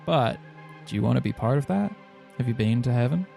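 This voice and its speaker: A man's voice: mid-range voice, lively intonation, fairly quick, creaky, dark tone, faint music behind. A man playing a tabletop role-playing game, talking casually and speaking for his character. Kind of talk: casual in-game talk and roleplay.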